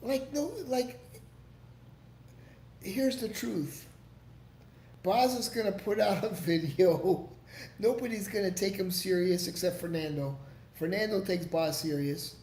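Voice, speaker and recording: A man's voice: high voice, takes it slowly, long pauses, darkish tone. Rambling, profane man in an echoey room. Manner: rambling and profane, with frequent "like" and restarts. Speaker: livestream host. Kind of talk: casual livestream chat, reading and answering viewer comments. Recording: echoey room with faint background noise.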